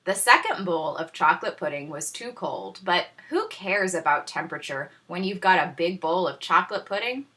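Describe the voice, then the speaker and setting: A woman reads a children's story aloud like a teacher. Her voice is bright and fairly low, very lively in pitch, and unhurried, with tongue-in-cheek pauses. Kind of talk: animated children's storybook narration.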